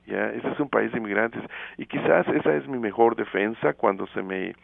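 A middle-aged man from Guatemala, speaking thoughtfully as an interview guest with many fillers and pauses, heard over a phone line. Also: on the low side, creaky, dry recording. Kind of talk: casual interview. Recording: tinny phone line, radio broadcast.

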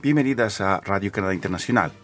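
A man hosting a radio interview from a studio, speaking in a measured, formal presenter's manner. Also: low voice, a bit bright, faint music behind. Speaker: radio host.

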